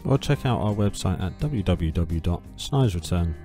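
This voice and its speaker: A man reads a scripted podcast promo over faint music. His voice is low and mellow. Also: expressive, normal speed.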